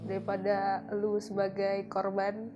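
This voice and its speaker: A woman in her early twenties shares her experience in an informal, slangy way. Her pitch is average, and clear background music plays under her voice. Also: monotone, measured pace, lo-fi sound. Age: early 20s. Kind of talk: casual personal storytelling in an interview. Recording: music playing behind.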